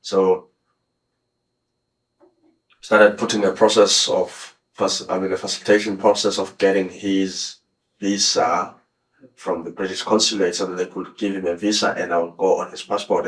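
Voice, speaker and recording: A South African man in his thirties, in a police interview room, recounting his story haltingly with many restarts. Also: low-pitched, low-quality recording. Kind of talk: recounting events under questioning.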